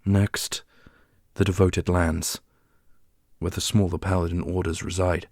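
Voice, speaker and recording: A man playing a solemn, strategic warrior leader in a fantasy audio roleplay, speaking in a formal, measured way. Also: sits low, little pitch movement, darkish tone, no echo, clean studio sound. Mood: measured, planning.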